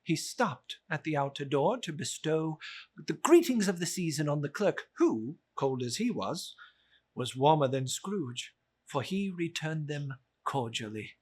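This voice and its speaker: A narrator reading a classic story aloud in a measured, expressive storytelling manner. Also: mid-range voice, husky.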